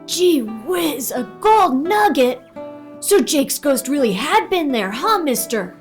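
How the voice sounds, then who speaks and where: Woman playing an eager, high-pitched young boy. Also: very animated, slow, faint music behind, exaggerated voice. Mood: amazed. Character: eager, wide-eyed young boy listening to a story. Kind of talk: old-time radio play acting.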